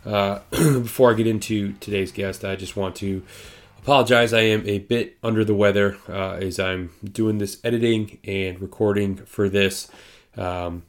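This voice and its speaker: A man hosting a podcast, congested and nasal from a cold, talking in a halting way with many fillers. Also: fairly low pitch, fairly even intonation. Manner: halting, many ums and uhs. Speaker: podcast host. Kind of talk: casual podcast intro and sponsor reads.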